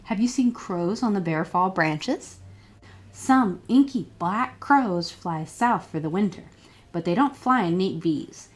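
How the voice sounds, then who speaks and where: A woman's voice: lowish voice, animated, slow. A woman reading a children's picture book aloud as a storytime librarian, expressively and with questions put to young listeners.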